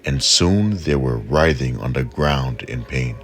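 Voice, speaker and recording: A man narrating a folk tale in a measured, deliberate storytelling manner. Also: very deep voice, lively intonation, soft background music.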